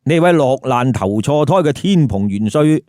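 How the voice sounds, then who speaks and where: A man's voice: medium pitch, expressive, normal speed, mellow, no room sound, studio quality. A man narrating a humorous story with commentary in a theatrical, colloquial, rhetorical way. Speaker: storyteller and host.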